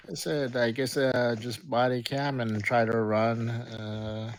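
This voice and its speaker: Male meeting participant, mid-range voice, halting delivery, casual questioning. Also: mid-range voice, little pitch movement, slight background noise. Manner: halting, hesitant. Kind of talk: casual discussion.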